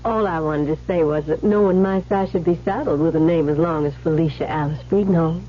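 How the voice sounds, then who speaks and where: An actress playing a playful, genteel young Southern belle in a radio drama. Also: female voice, sits low, a little fast, slight background noise, natural voice. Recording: dull, humming old radio recording.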